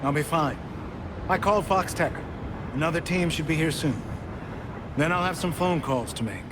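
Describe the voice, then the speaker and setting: A man plays a grave, resolute businessman in a game drama. His voice is somewhat high and sounds shaken, against clear noisy city ambience. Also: normal speed, close to an everyday voice. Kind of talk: video game voice acting.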